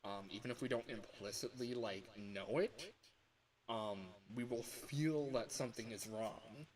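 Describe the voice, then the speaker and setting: A man talking casually as a livestreamer and game developer, rambling and breaking off his own sentences with animated asides. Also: mid-range voice, a little slow.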